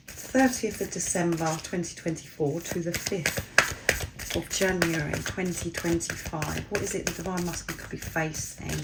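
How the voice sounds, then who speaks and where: A woman with a croaky, hoarse voice from a cold, gently reading tarot cards and addressing listeners warmly as souls. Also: fairly low pitch, very noisy. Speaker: tarot card reader. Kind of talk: spiritual card reading to camera.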